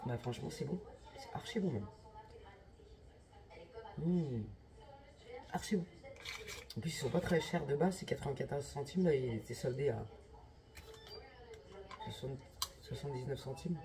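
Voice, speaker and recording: A woman's voice: rumbling low, normal speed, long pauses, a crowd in the background. Slangy chatty young woman vlogger. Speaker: lifestyle vlogger. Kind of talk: casual vlog chat.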